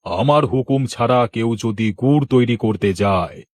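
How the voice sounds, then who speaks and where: A man's voice: medium pitch, darkish tone, no echo, clean studio sound, close to an everyday voice. A man playing a greedy, pompous, scheming village headman in a children's cartoon story. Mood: threatening. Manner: pompous, domineering, slow and deliberate.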